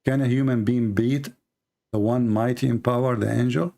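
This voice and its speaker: A man's voice: mid-range voice, steady tone, moderate pace, gravelly, warm tone. A man whose first language is Arabic, hosting a live debate and pressing a caller with repeated questions. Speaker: host.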